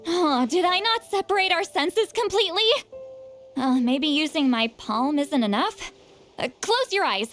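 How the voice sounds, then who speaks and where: A woman's voice: high voice, animated, measured pace, fairly bright tone. A woman voicing a centuries-old shaman with a youthful voice, shy and easily flustered. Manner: hesitant, stammering, fussy. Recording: game audio with faint music.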